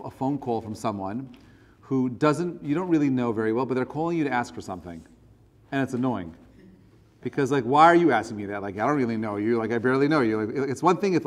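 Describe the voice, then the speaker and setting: A man's voice: medium pitch, a little mellow. The man you would hear at an evening synagogue class, an earnest rabbi asking the listeners question after question, his words ringing on in the big room. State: voice strained, choking up. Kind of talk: religious lecture, conversational. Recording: reverberant hall.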